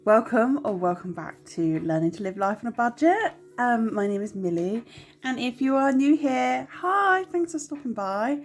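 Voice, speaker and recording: A woman's voice: fairly high pitch, lively intonation, moderate pace. A young woman with a stuffy, nasal cold, chatting casually to camera at home with lots of ums. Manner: chatty, rambling, many ums, apologetic. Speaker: budgeting vlogger.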